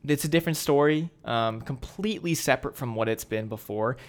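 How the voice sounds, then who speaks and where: A man's voice: medium pitch, fairly quick. A man in his early twenties talking casually as a podcast co-host, in an informal, slangy and emphatic way. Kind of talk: casual chat about films.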